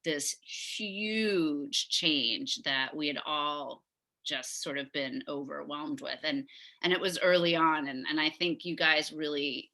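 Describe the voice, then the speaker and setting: A talkative woman leads a community committee meeting over a video call, full of restarts and enthusiasm. Her voice is on the lower side but bright and forceful, heard through a computer mic. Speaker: meeting chair of neighborhood committee.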